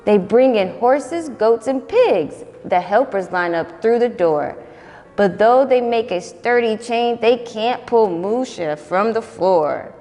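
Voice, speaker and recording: A young adult woman volunteering as a reader, reading children's picture books aloud in an expressive, sing-song way. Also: fairly high pitch, slow, big-room sound, soft background music. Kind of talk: children's storytime read-aloud.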